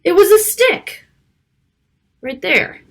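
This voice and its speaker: A woman reading a picture book aloud to children, expressive, with casual asides about the pictures. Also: extremely high pitch, slow. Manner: child-directed, expressive, stretching key words and pointing out pictures.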